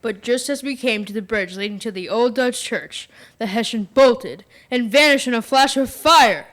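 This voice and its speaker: Young female as a cocky, highish-voiced village braggart. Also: moderate pace, a bit bright, close to an everyday voice. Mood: boastful. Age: young teen. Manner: cocky, challenging. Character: Brom, boastful fearless young male rider. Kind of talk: stage radio play acting.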